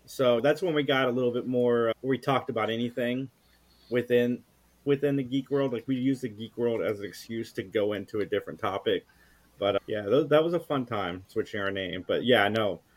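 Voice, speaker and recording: A man with a mid-range voice in relaxed podcast conversation. He talks like a storyteller among friends, animated in his delivery and slipping into quoted dialogue. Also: mid-range voice. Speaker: podcast guest and co-host of a geek culture show. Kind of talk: casual storytelling chat.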